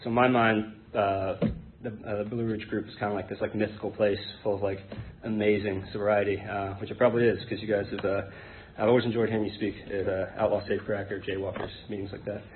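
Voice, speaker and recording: Low-voiced American man, tinny noisy recording. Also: flat delivery. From born in Hong Kong to an English identity, raised in Connecticut, United States. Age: 30s. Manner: hesitant, halting, rambling. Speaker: guest speaker at an Alcoholics Anonymous meeting. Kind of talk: informal personal testimony to a group. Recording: tinny phone-like band, noisy background.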